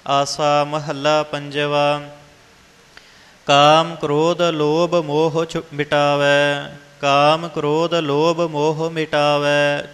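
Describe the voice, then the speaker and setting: A man reciting scripture in a slow, measured chant. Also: a little high, a bit bright, clear, resonant tone, in a large hall.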